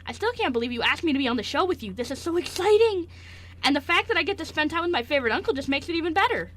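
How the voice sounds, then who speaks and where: A woman's voice: sits high, very fast, slight background noise, pitched above the performer's own voice. A woman playing an eager, gushing seventeen-year-old boy in an amateur radio play. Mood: excited. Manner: gushing, excitable.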